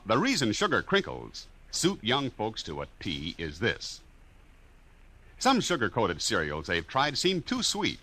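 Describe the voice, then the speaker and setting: Booming, bright-voiced old radio announcer. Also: male voice. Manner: booming, salesman-like, showy. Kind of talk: 1950s radio announcing and ad read.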